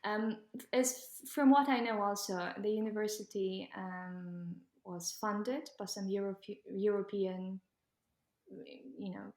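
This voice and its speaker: A young Ukrainian woman with a Ukrainian accent, answering interview questions casually with many pauses and ums. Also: medium pitch, steady tone, a little mellow, reverberant. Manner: hesitant, many ums, laughs while talking. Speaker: guest.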